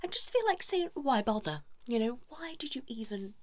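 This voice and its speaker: A woman playing a blunt, judgmental female couples therapist in an ASMR role-play, soft and breathy, often dropping into a whisper. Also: on the high side, very animated, pitched above the performer's own voice. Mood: chiding, exasperated. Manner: fussy, chiding, pausing as if listening to clients.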